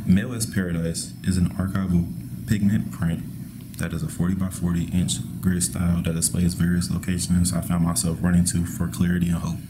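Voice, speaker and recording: A young man from Missouri, an art student, reading his artist statement in a calm, measured way. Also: sits low. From Missouri, USA. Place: indoor art gallery. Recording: steady background noise.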